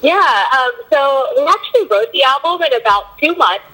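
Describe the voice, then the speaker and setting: A young woman in a band, a bit hoarse from a cold, answering interview questions in a loose way with lots of fillers. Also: high voice, expressive, normal speed, sharp tone, rough recording. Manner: loose, filler-heavy talk with frequent likes and ums. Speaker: band member.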